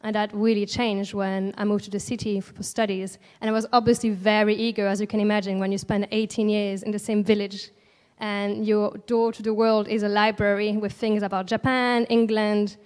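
A gentle, reflective French woman in her thirties talks on stage into a handheld microphone. Her voice sits at a middle pitch, and long hall reverb trails each phrase in the big event space. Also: little pitch movement, moderate pace, smooth. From France, Alsace. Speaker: artist guest. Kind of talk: stage interview. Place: large indoor event space at a museum, on stage. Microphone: handheld mic.

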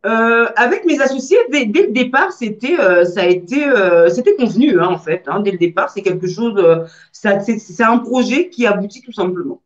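A woman in her thirties answering interview questions from home over a video call, speaking in a measured way with frequent fillers and restarts. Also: medium pitch, expressive. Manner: measured, hesitant with many fillers. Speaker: guest entrepreneur. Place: room at home, indoors. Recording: dull lo-fi video-call audio.

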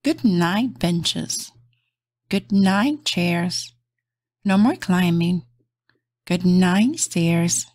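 A woman reading aloud to young children as a storybook host, in a gentle, encouraging, sing-song manner. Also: on the low side, lively intonation, very slow, pauses often, no echo, studio quality.

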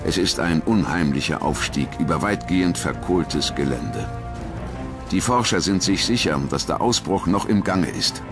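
Low male doc narrator reading a script, music behind him. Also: moderate pace. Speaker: documentary narrator.

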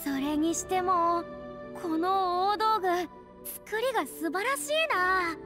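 An actress playing a youthful, self-assured heroine in an anime-style game, with grand, boyish phrasing. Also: female voice, very high voice, slow, music in the background. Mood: confident, curious.

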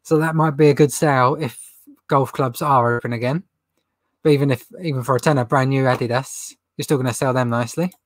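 A man's voice: medium pitch, fairly even intonation, a little fast, dry recording. Chatty young man with a runny nose. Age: young adult. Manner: chatty, rambling, laughs at own jokes. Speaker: reseller livestream host. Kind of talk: casual livestream Q&A. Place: indoor stock room with shelves.

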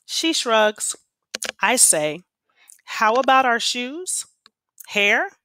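A woman teacher reading aloud to students with an expressive, deliberate storytelling delivery. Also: a little high. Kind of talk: expressive read-aloud of children's poems and class instructions.

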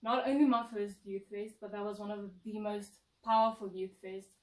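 A woman's voice: mid-range voice, steady tone, normal speed. A young woman in her late teens with a South African accent, answering questions in a playful, emphatic way. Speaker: co-presenter being interviewed. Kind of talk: casual interview chat. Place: indoor lounge set, seated on couch. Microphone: far camera mic. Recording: roomy echo, distant mic.

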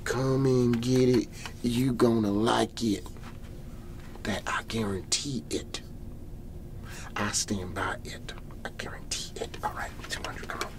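A man playing a folksy, excitable garage-sale salesman in an ASMR roleplay, whispery and breathy, rambling and repeating his hard sell. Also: mid-range voice, slow, pauses often, background noise. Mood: coaxing, insistent. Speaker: host playing a character.

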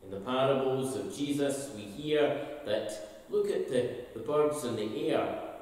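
An older man, a minister, gives an expressive, slowly told all-age talk from the pulpit of a large church sanctuary. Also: mid-range voice. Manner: storytelling, slow and expressive. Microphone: far camera, pulpit mic. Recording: echoey church hall from a distance.

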